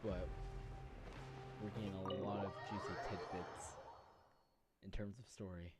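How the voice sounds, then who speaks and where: Female game streamer, low pitch, casual reactions, conversational, loud music in the background. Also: steady tone, unhurried. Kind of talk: casual gameplay reaction commentary.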